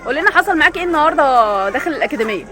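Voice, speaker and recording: Egyptian woman reporter, young, high-pitched, very fast, coaxing street interviews, music and crowd noise outside. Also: lively intonation. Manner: brisk, coaxing, repeats set questions. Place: outdoor football academy. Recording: outdoor clip with music and crowd. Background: music and crowd chatter.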